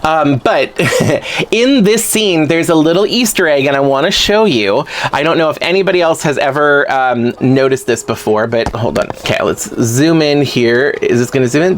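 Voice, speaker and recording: A chatty, excitable man talks casually to camera at home. His pitch bounces all over, rising into sudden high squeals of delight. A faint hiss of noise sits under his voice. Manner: chatty, excitable, rambling with asides. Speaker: vlogger opening fan mail. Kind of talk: casual unboxing chat.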